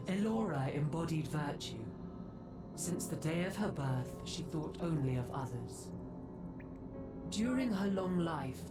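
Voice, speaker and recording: Fantasy game role: an ancient centaur woman, stern guardian weighed by remorse, low register, measured solemn pace. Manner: grave, slow, formal delivery. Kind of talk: dramatic fantasy game voice acting.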